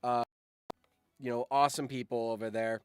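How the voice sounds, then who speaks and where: A man's voice: medium pitch, steady tone, normal speed, clean tone, dry recording. A young man hosting a casual livestream, talking in a rambling way that often trails off, close to the mic. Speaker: streamer and host.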